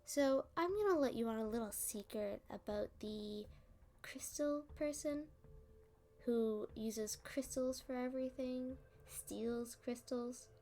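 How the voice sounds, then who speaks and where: A woman's voice: on the high side, mellow, a little hiss or hum. A woman playing a playful, smug female supervillain in an ASMR roleplay, teasing and talking down to the listener. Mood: scheming, dismissive. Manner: teasing, condescending, drawn-out delivery.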